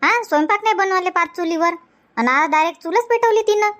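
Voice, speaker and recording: High-pitched nagging Marathi village housewife, as an actor plays her. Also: a little fast, fairly bright tone. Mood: gossipy. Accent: rural Vidarbha Marathi. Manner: nagging, chattering, insistent. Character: nagging, chatty, stubborn village housewife in a comedy cartoon. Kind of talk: cartoon comedy dialogue.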